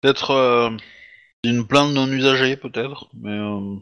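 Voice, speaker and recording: A man voicing a patient male town official in a tabletop game, speaking formally and hesitantly with many pauses. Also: mid-range voice, fairly even intonation, a bit bright, clean tone, muffled recording, voice pushed up. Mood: reassuring. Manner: hesitant, polite, formal address.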